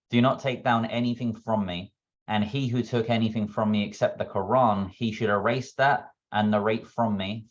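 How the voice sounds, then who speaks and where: A man from Texas asking a question at a discussion, speaking in a measured, courteous way and reading quotations aloud. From Texas, United States. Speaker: audience member asking a question. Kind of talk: polite question at an online discussion. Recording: dull, low-fidelity connection.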